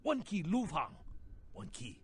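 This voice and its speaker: A man's voice: a little high, wildly swooping pitch, measured pace, husky, exaggerated voice. An actor playing an older retired Chinese hitman in a video game, boastful and shady, with a Chinese accent and broken English, speaking of himself in the third person. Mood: conspiratorial, suspicious. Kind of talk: video game character acting.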